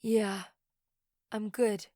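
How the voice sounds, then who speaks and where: A woman's voice: mid-range voice, level tone, drawn-out words, warm tone, no room sound, studio quality. A woman playing an anxious, depressed teenage girl in an audio drama, hesitant and weary. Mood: subdued.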